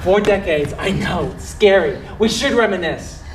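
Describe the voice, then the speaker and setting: A man's voice: sits high, animated, unhurried. A man playing an earnest, conversational doctor near sixty, a courteous former geek, in a live stage play. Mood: nostalgic, teasing. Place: indoor theater stage. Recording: distant, echoey theater stage with noise.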